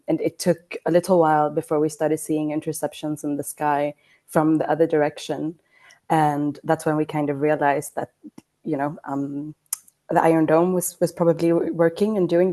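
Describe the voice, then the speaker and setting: A young woman journalist talks in a measured, thoughtful way, often pausing to find her words. Her voice sits low and warm, with an even, flat melody that rarely leaps. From Iraq, grew up in Sweden. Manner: measured, thoughtful, with frequent ums. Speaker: journalist guest. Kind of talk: casual podcast chat.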